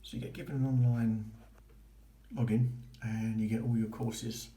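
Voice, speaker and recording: Low, even-toned man, roomy sound. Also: moderate pace. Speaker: vlogger documenting a boating course. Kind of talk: casual explanatory walkthrough. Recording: roomy echo with faint noise.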